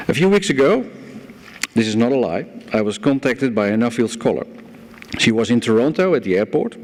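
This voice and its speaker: A Dutch-accented man in his forties delivers a conference talk, his voice sitting fairly low. He tells stories with gentle, self-mocking humor, and the reverb of a large hall lingers after his words. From Netherlands. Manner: storytelling, self-deprecating humor. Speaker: guest speaker. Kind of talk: conference presentation. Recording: hall reverb from a lecture room.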